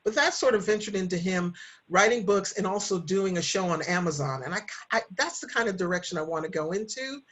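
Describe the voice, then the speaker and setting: A woman's voice: lowish voice, animated, brisk. A middle-aged woman chatting casually and candidly, telling personal stories in a reflective way. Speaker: podcast host and writer. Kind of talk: casual conversational storytelling.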